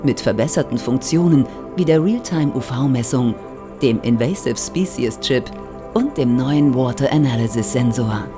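A man's voice: medium pitch, expressive, normal speed, over music, natural voice. A man playing a polished, promotional announcer in a futuristic gadget advert within an audio drama. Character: slick male commercial announcer for futuristic wristband. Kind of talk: advert voice-over.

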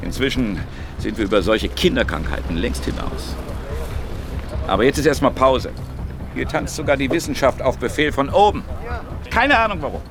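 A man's voice: medium pitch, expressive, moderate pace, long pauses, background noise, lightly acted. A man playing a grumpy, grey-haired hippie academic in a radio drama, blunt and sardonic. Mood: dry, sardonic.

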